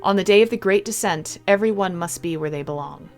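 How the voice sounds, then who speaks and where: A woman reading a visual novel aloud in an expressive storybook style, with casual playful commentary. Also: mid-range voice, fairly quick. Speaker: video game streamer. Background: game music.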